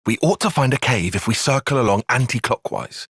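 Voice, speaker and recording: An earnest young tactician in a video game cutscene. His voice is a little husky and recorded close and dry. He speaks in formal, bookish sentences, with pitch that moves a good deal. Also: expressive, a little fast, a bit bright, no room sound. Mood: composed, analytical. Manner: precise, formal, bookish phrasing. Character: analytical, earnest young male soldier and tactician. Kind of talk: dramatic game cutscene acting.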